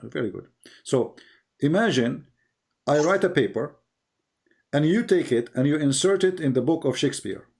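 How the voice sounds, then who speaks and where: A man's voice: a little high, animated, normal speed, long pauses, darkish tone. A man speaks English as an in a live debate. He lectures insistently, like a teacher pressing a point, and keeps putting rhetorical questions to his listener. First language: non-English. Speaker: religious debater on a live call. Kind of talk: polemical live lecture to a caller.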